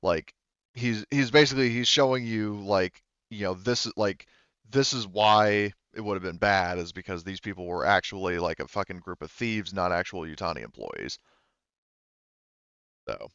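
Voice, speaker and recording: Man, game master, low pitch, improvised halting narration, close dry sound, slightly muffled lo-fi audio. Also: a bit bright, smooth, no room sound. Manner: halting, conversational, rephrasing as he goes.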